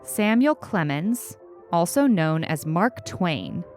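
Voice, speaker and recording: A woman's voice: lowish voice, very animated, takes it slowly, darkish tone, soft background music. A woman voicing a young schoolgirl in a story, eager, direct, impatient and a little sheepish. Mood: reciting. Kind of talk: storybook character reading.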